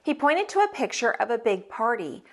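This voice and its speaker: A woman narrating a children's book as a teacher would, with expressive, dramatic storytelling. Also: fairly high pitch, moderate pace. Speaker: host, teacher reading aloud.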